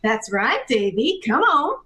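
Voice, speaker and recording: A bright, unhurried female voice from a woman acting a folksy village neighbor in a radio play. She sounds cheerful and coaxing, as if talking sweetly to small children. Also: fairly high pitch, very animated, reverberant, pitched below the performer's own voice, heavily acted.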